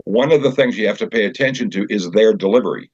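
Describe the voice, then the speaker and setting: An older man chatting as an interview guest, telling anecdotes in a halting, conversational storyteller's way. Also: on the low side, darkish tone, slightly rough, dry recording. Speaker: guest, community theater director and actor. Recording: slightly dull, dry recording.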